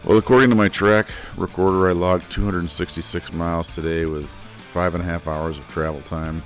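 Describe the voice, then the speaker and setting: A man recording a travel vlog voiceover, telling the day's ride in a plain, relaxed way while his voice is a little scratchy. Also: deep voice, normal speed, faint music behind. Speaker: motorcycle travel vlogger. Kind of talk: voiceover narration.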